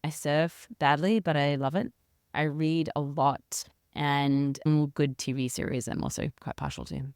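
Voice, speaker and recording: Interview guest, woman, 40s, Australian accent, smooth resonant tone, conversational, dry close sound. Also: low-pitched, steady tone, measured pace, darkish tone, no echo. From rural South Australia. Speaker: interview guest, leadership consultant and researcher.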